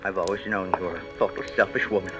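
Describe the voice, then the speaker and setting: A man's voice: deep voice, fairly even intonation, quick pace, loud music behind the voice, close to an everyday voice. A man playing a proud, bitter middle-aged actor husband in an old-time radio thriller, speaking in a florid, declamatory way. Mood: bitter, resolute. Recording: vintage radio recording.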